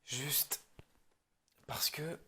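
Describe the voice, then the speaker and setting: A man improvising an ASMR roleplay as a film director, talking in a halting, rambling way that often drops toward a whisper.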